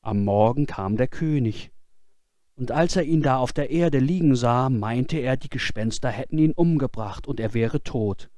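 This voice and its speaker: A man reading a fairy tale for children as scripted audiobook narration, in an expressive, dramatic storytelling manner. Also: medium pitch, normal speed, no room sound. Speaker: audiobook reader.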